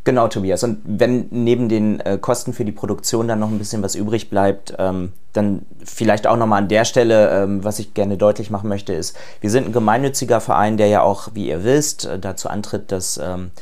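Earnest, low-voiced man on a podcast. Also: steady tone, fairly quick, few pauses, a little mellow. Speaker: outgoing podcast co-host. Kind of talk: casual podcast conversation.

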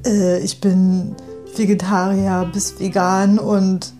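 A woman's voice: mid-range voice, little pitch movement, slow, mellow, faint music behind. A woman in a casual, reflective chat with a friend. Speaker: conversation partner in a personal audio piece.

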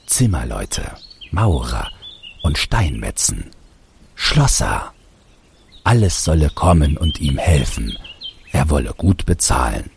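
A man reading a fairy tale aloud as an expressive storybook narrator. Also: extremely low pitch, takes it slowly, gravelly, pauses often, a little hiss or hum.

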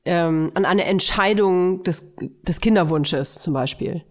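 A woman in her thirties, co-hosting a podcast in casual, self-mocking chat with loud laughs. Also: lowish voice, measured pace. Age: 30s. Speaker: podcast co-host.

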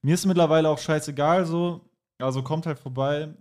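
A man hosting a podcast, chatting casually in informal, slangy language with a halting delivery. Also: on the high side, steady tone, darkish tone. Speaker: podcast host. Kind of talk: casual chat.

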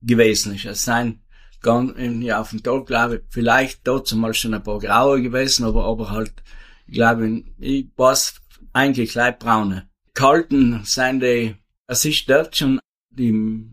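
A man in his seventies with a South Tyrolean German accent, a retired farmer who answers interview questions in a rambling, halting way. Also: mid-range voice, fairly even intonation, fairly bright tone. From South Tyrol, Italy. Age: 70s. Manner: rambling, halting, with many restarts and fillers.

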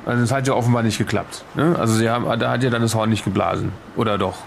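Man as gravelly, puzzled questioner, slightly noisy. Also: lowish voice, steady tone, rapid-fire. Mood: puzzled, probing. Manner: questioning, insistent. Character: puzzled, probing male listener piecing together a mystery. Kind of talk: improvised in-character conversation for an audio drama.

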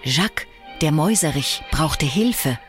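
A woman playing the stately storyteller of a fairy tale, narrating in a measured, old-fashioned, solemn way. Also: sits low, animated, faint music behind.

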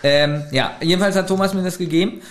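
Ein Mann, der als Co-Host in einem lockeren Podcast mit gespieltem Ernst seinen Partner aufzieht. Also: male voice, high-pitched, fast. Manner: playful, mock-serious ribbing. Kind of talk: casual podcast chat.

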